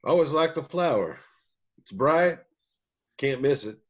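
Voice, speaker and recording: A man's voice: a little high, lively intonation, a little slow, lo-fi sound. A man playing a weathered, wry, guarded older country bandleader, folksy and wistful, in a screenplay table read.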